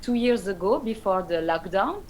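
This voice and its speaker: A woman in her thirties with a French accent, raised speaking Arabic and French, gives conversational interview answers. She is halting as she searches for words, over faint background noise. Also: medium pitch, animated, a little mellow. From France. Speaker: guest, independent pop rock musician.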